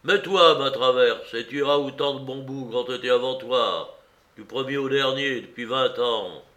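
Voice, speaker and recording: A man's voice: mid-range voice, moderate pace, fairly bright tone, lightly acted. A man voicing an old one-legged pirate captain who roars threats and commands his crew. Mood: menacing.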